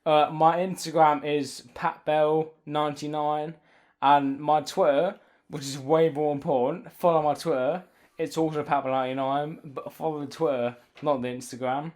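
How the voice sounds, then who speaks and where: A man's voice: on the high side, steady tone, moderate pace, a little mellow. A British man in his twenties, a podcast guest chatting casually and a little drunk, rambling, repeating himself and swearing. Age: 20s. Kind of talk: casual chat with friends.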